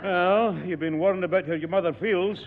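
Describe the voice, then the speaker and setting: An actor playing a kindly older grandfather, gently scolding the boy. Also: high voice, moderate pace, background noise, natural voice. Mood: gentle. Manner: gently scolding, sing-song. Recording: tinny, echoey old radio broadcast.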